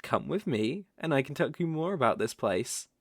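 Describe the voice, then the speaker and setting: A man voicing a coaxing, possessive naga monster in a roleplay ASMR audio drama, with a creaky, teasing delivery. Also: mid-range voice, lively intonation, no echo, studio quality. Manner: slow, coaxing, teasing with soft chuckles. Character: smooth-talking male naga monster, coaxing, possessive predator.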